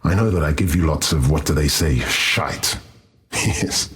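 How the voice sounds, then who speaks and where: Very deep, gravelly jovial warrior. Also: male voice, a little slow, fairly bright tone, heavily acted. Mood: amused, exultant. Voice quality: gravelly, husky. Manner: hearty, boastful, teasing.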